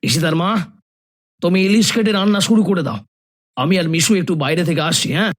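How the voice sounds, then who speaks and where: A man's voice: sits high, fairly quick. A man voicing a practical, dismissive middle-aged village father in a horror cartoon.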